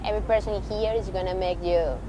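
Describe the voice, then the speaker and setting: A woman's voice: mid-range voice, fairly even intonation, quick pace. Young woman from Venezuela; earnest, encouraging student testimonial, traffic noise around her. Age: young adult. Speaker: university student. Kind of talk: personal testimonial. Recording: outdoor street noise.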